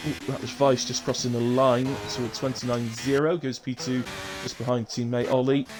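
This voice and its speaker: A man calls a sim race in a brisk, chatty play-by-play, his chest rough with a lingering cough. Engine noise and game sounds run clearly under his voice. He speaks at a middle pitch. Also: level tone. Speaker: race commentator. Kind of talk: live sim racing commentary. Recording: noisy stream mic with car sounds.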